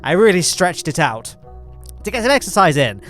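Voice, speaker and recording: A man's voice: high voice, big pitch swings, normal speed, fairly bright tone, faint music behind. Nasal, congested English man rambling over gameplay. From England. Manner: rambling, self-deprecating, lots of fillers and restarts. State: congested from hay fever. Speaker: gaming channel host. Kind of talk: chatty gameplay commentary.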